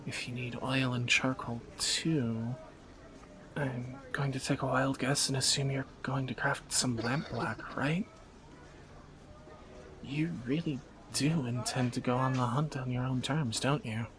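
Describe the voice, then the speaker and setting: A man playing a teasing, protective captor turned lover in a romantic fantasy audio roleplay, bantering and soft-spoken. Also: mid-range voice, steady tone, measured pace, noisy background, close to an everyday voice. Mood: teasing, curious.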